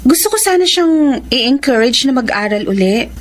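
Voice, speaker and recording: A young Filipino woman, caring and wistful, in a radio drama. Her high voice is gentle, with a slightly hesitant delivery. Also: lively intonation, normal speed. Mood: friendly concern. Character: wistful, caring young woman, law student, old friend. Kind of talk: Tagalog radio drama acting.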